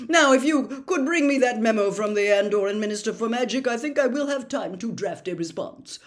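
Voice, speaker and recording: A woman's voice: on the high side, lively intonation, pitched above the performer's own voice, lightly acted. A woman voicing a mad older male wizard official in an audiobook, veering between officious dictation and desperate, broken gasps. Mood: officious, rambling. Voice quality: breathy gasping, broken halting delivery. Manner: swings from fluent officious dictation to halting frantic gasps.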